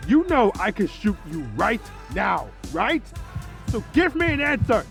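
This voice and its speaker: An actor playing a ruthless, impatient villain in a cinematic audio drama, coercive and foul-mouthed with a hard edge. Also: male voice, high-pitched, sing-song, unhurried, creaky, fairly bright tone, faint music behind, full character voice. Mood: angry threatening. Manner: coercive, swearing, hard-edged. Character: ruthless, threatening corporate villain man, impatient and menacing.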